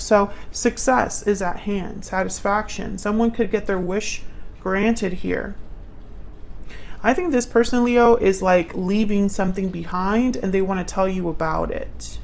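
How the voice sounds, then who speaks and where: A woman's voice: mid-range voice, fairly even intonation, a little slow, creaky, darkish tone, slight background noise. A woman doing a casual, intuitive tarot reading, thinking aloud as she talks directly to the listener. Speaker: tarot reader, channel host.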